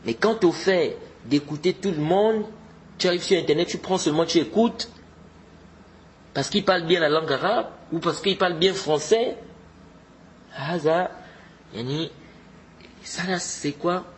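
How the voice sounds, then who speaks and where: A man's voice: on the high side, measured pace, pauses often, low-quality recording. An earnest man teaches religion in a slightly raised voice. He drives his points home by repeating them and sprinkles in Arabic terms. A faint hiss sits under the recording. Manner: repetitive, exhorting, mixes in Arabic religious terms. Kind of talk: informal religious lesson.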